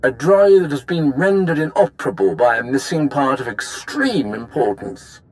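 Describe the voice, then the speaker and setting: A man's voice: mid-range voice, sing-song, normal speed, lightly acted, rough recording. An actor playing an eager, genteel male scholar in a video game cutscene, effusive, polite and wordy. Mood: eager, fussy.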